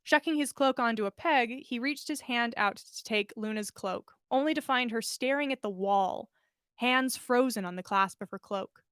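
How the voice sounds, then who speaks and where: A woman's voice: a little high, normal speed, fairly bright tone, clear, resonant tone, no room sound, clean studio sound. A woman narrating a story expressively, as a podcast host reading fan fiction aloud.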